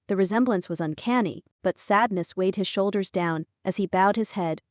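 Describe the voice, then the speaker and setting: A woman narrating a fantasy audiobook in a scripted, expressive storytelling style. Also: mid-range voice, moderate pace, no echo, studio quality.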